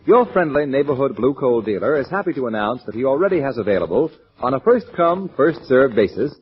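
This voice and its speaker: A man announcing a scripted 1940s radio advertisement in an emphatic, polished sales delivery. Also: medium pitch. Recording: muffled old radio broadcast.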